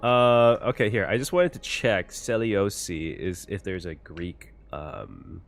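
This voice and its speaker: A man's voice: sits low, animated, slow pace, vocal fry, faint music behind. A man giving casual, chatty reaction commentary on a game, thinking aloud as he goes. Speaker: streamer reacting to a game story.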